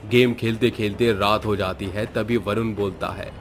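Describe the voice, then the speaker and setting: A man's voice: lowish voice, steady tone, moderate pace. A man narrating a scripted horror story as its storyteller. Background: faint background music.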